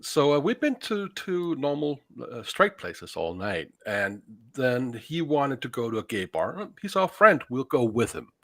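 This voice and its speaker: A man with a very lively, varied pitch tells a personal story on a casual podcast, recorded close and dry. His phrasing is a little halting, built up piece by piece. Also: dry recording. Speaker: podcast panelist.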